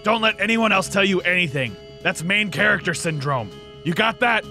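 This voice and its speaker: Cartoon old scientist grandfather: high, croaky, arrogant, brash and stammering. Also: lively intonation, moderate pace, pushed and bright, faint music behind, full character voice. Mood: dismissive, brash. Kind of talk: animated comedy dialogue.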